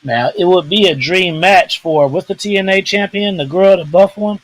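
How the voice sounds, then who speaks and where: A man co-hosting a casual wrestling chat, rambling and halting in a laid-back way. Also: sits high, a bit bright, rough recording. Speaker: podcast co-host.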